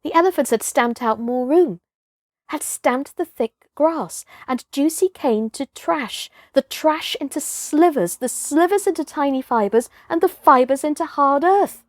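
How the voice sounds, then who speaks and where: A woman's voice: high-pitched, unhurried, no echo, studio quality. A woman reading a children's story as a dramatic narrator, with theatrical, emphatic phrasing.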